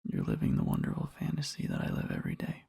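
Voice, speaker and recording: A man playing an adoring boyfriend in a soft ASMR roleplay, murmuring slowly and tenderly to a sleeping partner in a breathy, husky whisper. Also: extremely low pitch, huge pitch swings, no room sound, studio quality, heavily acted. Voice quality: breathy, husky, with creaky fry. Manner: slow, halting, tender murmuring.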